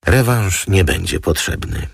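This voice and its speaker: A man voicing a composed, dryly witty woman lawyer who speaks in a calm, politely formal manner in an audiobook. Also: deep voice, measured pace, creaky, slightly rough, dry recording, clean studio sound, voice pushed up, exaggerated voice. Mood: cool, obliging.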